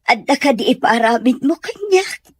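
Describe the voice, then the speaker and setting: A woman with a Filipino accent playing a meek, weary, kindly household nanny in an audio drama, gentle and submissive. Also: high-pitched, animated, moderate pace, breathy edge, no room sound. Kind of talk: amateur audio drama acting.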